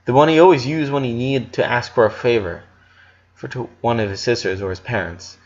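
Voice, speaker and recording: A man reading a story aloud as narrator, in a dramatic scripted style. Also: on the low side. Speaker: audiobook narrator. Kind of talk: dramatic fan fiction narration. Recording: slightly dull, muffled audio.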